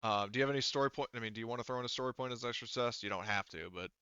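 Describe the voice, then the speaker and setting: Bright-voiced man hesitantly running a tabletop game. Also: mid-range voice, racing, clean tone, no room sound. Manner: hesitant, thinking aloud. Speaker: game master. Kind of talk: casual tabletop game refereeing. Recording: band-limited, dull dry audio.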